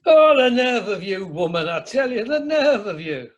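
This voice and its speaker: A man's voice: high voice, very animated, moderate pace, muffled recording. A man playing a jovial, bluff older husband with a Northern English accent in an amateur radio comedy. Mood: blustering, nostalgic. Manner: chuckling, blustering, colloquial. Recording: online meeting recording.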